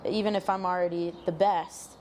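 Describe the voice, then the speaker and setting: A woman's voice: lowish voice, steady tone, moderate pace, darkish tone, slight background noise. A young woman from Minnesota, an interview guest explaining her coaching work articulately, often with quoted examples. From Minnesota, United States. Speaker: guest, sports psychology coach.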